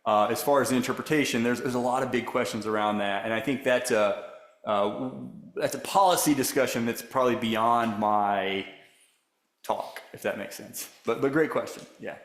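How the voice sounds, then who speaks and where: A middle-aged man answering audience questions after a lecture. His voice sits at an ordinary pitch, and he circles through restarts and hedged phrasing. The room gives it a noticeable echo. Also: moderate pace. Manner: rambling, self-correcting, many restarts. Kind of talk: academic Q&A answers. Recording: echoey room.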